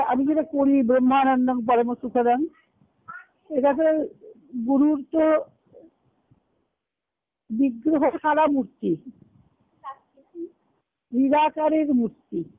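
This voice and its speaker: A woman's voice: high-pitched, dry recording. A woman giving a devotional discourse as a spiritual teacher, explaining by asking and answering her own questions and quoting Sanskrit verses. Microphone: conference call line. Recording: phone-like narrow-band conference call.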